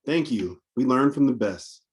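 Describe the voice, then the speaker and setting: A man's voice: medium pitch, expressive, unhurried, darkish tone, close to an everyday voice. A man voicing a devoted, eager-to-help young dad in a picture book, playful and reassuring. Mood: pleased.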